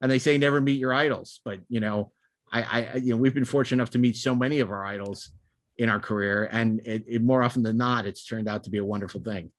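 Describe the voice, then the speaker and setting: A man's voice: mid-range voice, fairly even intonation, quick pace, dry recording. A man hosting a podcast in casual, enthusiastic chat, rambling with repeated words and stop-start phrasing. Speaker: podcast host.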